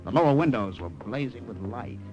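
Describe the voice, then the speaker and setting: A man voicing a nervous secret agent, stammering, lively voice, 1950s radio play, music behind. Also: mid-range voice, a little fast, lo-fi sound, natural voice. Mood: narrating. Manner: stammers and fumbles in scenes, narrates in a steady tone. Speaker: narrator and lead actor in a radio drama. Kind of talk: 1950s radio drama narration.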